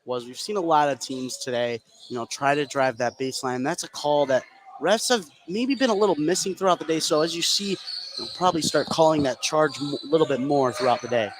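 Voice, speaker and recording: Higher-voiced male analyst over gym noise. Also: fairly quick. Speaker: color commentator. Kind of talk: analytical live sports commentary. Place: indoor high school gym. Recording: clear gym noise behind.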